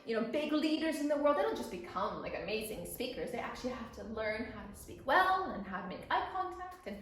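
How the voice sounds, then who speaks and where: A middle-aged woman explaining things to children in an expressive, playfully emphatic way. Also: on the high side, fairly quick, slight background noise. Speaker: guest storyteller and children's teacher. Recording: very echoey room.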